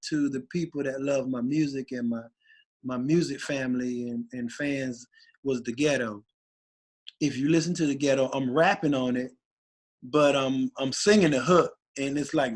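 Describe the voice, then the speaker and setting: A man, a rapper guest, talking casually and excitedly in an interview, rambling with many restarts. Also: mid-range voice, unhurried. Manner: animated, rambling, voice gets raspy when excited.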